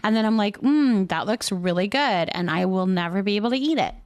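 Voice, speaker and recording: A woman's voice: mid-range voice, lively intonation, fairly quick, darkish tone. A woman chatting casually and playfully as she hosts a livestream and responds to viewers' comments. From Canada. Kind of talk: casual chat, reading and answering viewer comments.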